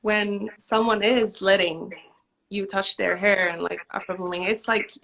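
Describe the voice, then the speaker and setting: A woman's voice: mid-range voice, steady tone, measured pace, creaky, a bit bright, low-quality recording. A young woman born in Finland who spent her early childhood in the Dominican Republic, talking casually as an interview guest. She speaks over a video call, fills her speech with "like" and laughs lightly. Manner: chatty, many "like" fillers, laughs lightly. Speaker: guest, hair braider in a collective. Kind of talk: casual conversation.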